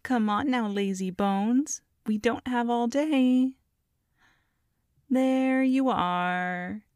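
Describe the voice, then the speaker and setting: Woman playing a slow, taunting goblin matriarch. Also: a little high, darkish tone, no echo, studio quality. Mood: teasing. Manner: teasing, smug, drawn-out villainous taunting. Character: small, taunting, flirty goblin matriarch, mother of a clan. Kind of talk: ASMR audio roleplay.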